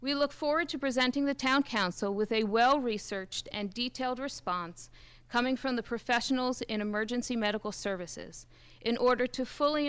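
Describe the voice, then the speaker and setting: Woman, smooth clear voice, pitched fairly high. Formal, measured reader of a prepared statement at a public meeting. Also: fairly bright tone. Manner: formal, measured, deliberate emphasis. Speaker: first aid squad representative. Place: public township committee meeting.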